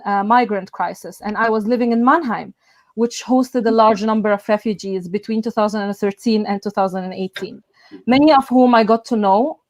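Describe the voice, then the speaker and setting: A young woman from Egypt, a native Arabic speaker, giving an earnest, measured welcome speech as event host over a video call. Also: a little high, dry recording. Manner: earnest, measured, with soft filler sounds. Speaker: event host, student union president.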